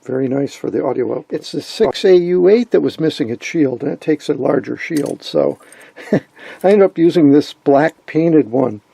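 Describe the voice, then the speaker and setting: A man with a husky voice giving a casual, hands-on technical commentary, thinking aloud as he works. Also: on the high side, lively intonation, normal speed, mellow, no room sound. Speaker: host of an electronics repair vlog.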